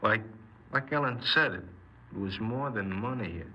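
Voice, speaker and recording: A man's voice: fairly low pitch, moderate pace, bright, forceful tone. An actor playing a cold, embittered young man confessing his crimes in a 1950s radio crime drama, speaking tersely and guardedly. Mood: halting confession. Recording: noisy, muffled 1950s radio broadcast.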